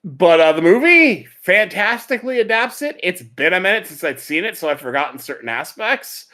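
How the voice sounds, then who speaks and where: A man with a medium-pitched, animated voice that swings up and down. He talks casually as a podcast host, rambling with open enthusiasm. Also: normal speed. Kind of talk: casual chat about anime.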